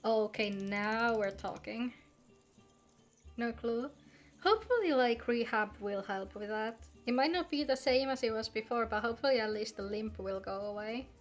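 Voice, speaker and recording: A woman's voice: on the high side, normal speed. A woman chatting casually on a live game stream, with music playing behind her voice. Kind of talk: casual livestream chat mixed with reading game dialogue aloud. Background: background music.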